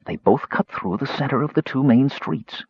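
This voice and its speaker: Guy voicing a secretive wizard for an audiobook, quiet, husky, slow n intense, imperious. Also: medium pitch, expressive, highs cut off, pitched above the performer's own voice. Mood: quietly intense. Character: terse intense male mage, secretive and imperious.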